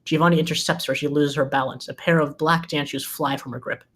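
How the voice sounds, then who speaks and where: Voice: medium pitch, fairly even intonation, quick pace, a bit bright. A narrator reading scene headings and stage directions at a screenplay table read.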